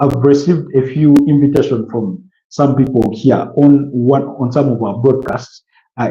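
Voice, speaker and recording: A man's voice: medium pitch, mellow, echoey room, muffled recording. A man from Uganda hosting a live stream, chatting casually and answering viewer comments with many restarts and fillers. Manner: halting, repeats words, many fillers.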